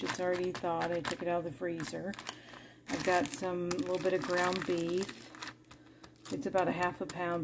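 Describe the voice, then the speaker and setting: A woman's voice: on the low side, darkish tone, a little hiss or hum. A middle-aged woman casually narrating her home cooking, chatty and homey. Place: home kitchen.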